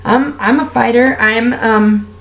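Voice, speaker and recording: A woman with a raspy, strained voice, talking candidly and at length while doing her makeup for a vlog. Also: a little high, fairly even intonation, takes it slowly, a bit bright, echoey room. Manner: candid, heartfelt, rambling with fillers. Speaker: beauty vlogger. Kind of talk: casual personal rant while doing makeup.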